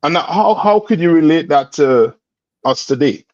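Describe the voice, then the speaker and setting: A man's voice: fairly high pitch, lively intonation, moderate pace, darkish tone, no echo. A man leading a religious class, quizzing his students and prodding them for answers. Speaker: class instructor. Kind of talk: call-and-response religious class. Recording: dull, dry lo-fi recording.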